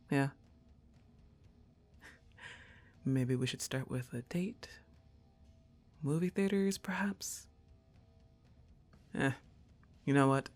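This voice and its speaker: Slow, gravelly laid-back goth girlfriend, acted by a woman. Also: deep voice, darkish tone, full character voice. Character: laid-back, teasing goth young woman, affectionate roommate and crush. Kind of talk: intimate roleplay ASMR.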